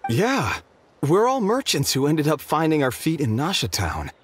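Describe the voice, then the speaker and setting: A man's voice: on the high side, huge pitch swings, normal speed, husky, heavily acted. An actor playing a wry, gossiping male merchant in a video game. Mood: wry, gossipy.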